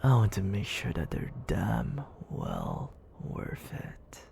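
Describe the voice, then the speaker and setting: A man voicing a cruel, condescending demon lord in an ASMR audio roleplay, murmuring slow taunts at the listener with a breathy, creaky voice. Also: lowish voice, background noise, full character voice. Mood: brisk, commanding. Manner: condescending, taunting, languid and deliberate. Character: smug, menacing male demon lord, cruel and toying.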